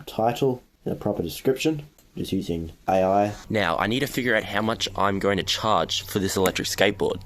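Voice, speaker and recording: A teenage boy talking casually to his viewers as he narrates his progress on an online business. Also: deep voice. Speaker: vlogger starting an online business. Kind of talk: casual vlog talk, narrating own progress.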